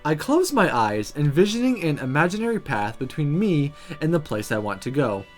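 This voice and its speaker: A let's play host reading a visual novel aloud, with playful asides and animated delivery. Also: mid-range voice, normal speed. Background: music.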